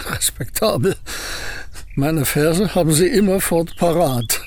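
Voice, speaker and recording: A gruff, husky old painter. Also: male voice, a little high, animated, takes it slowly, darkish tone, dry recording. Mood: wry. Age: older. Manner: terse, dry, sardonic replies. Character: old misanthropic male painter-poet, gruff and curt. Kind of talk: radio drama acting.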